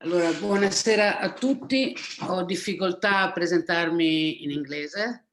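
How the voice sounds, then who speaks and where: A woman's voice: fairly low pitch, moderate pace, fairly bright tone, a little hiss or hum. A woman psychologist introducing herself on a video call, carefully searching for precise wording with restarts. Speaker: psychologist and horsewoman. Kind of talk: formal self-introduction.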